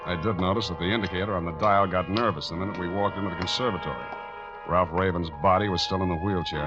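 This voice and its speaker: An actor playing a wry, hard-boiled private detective in a 1940s radio drama, with clipped deadpan delivery. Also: male voice, low voice, lightly acted. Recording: muffled 1940s radio recording, music behind.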